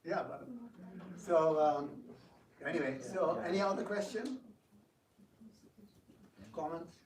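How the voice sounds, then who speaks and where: A man giving an informal spiritual talk and taking questions, telling stories in an animated way with hesitant repetitions. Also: on the high side, slow. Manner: animated storyteller, acts out quotes, hesitant repetitions. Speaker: devotional speaker and drama director taking questions. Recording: echoey room, distant mic, faint noise.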